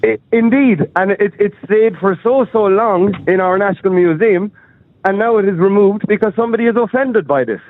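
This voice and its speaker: A man calling in to a radio show, speaking earnestly with halting restarts and repeated words. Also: high voice, no echo. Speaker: caller. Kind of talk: phone-in opinion. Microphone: telephone. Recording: tinny phone line.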